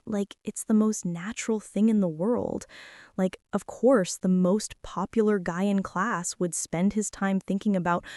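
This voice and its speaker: A woman playing a shy, quiet, self-doubting high school girl in an ASMR roleplay, speaking softly and hesitantly. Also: no room sound, clean studio sound, natural voice. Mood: hushed, overwhelmed, then tender, confused. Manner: soft, hesitant, flustered, often breaking into breathy whispers.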